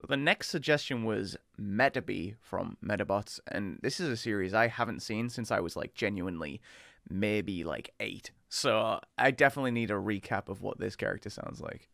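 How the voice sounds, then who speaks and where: Brief: male video host, mid 20s, casual chat, some vocal fry, very dry close recording. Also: on the low side, normal speed, fairly bright tone, no room sound. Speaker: content creator doing voice acting challenge.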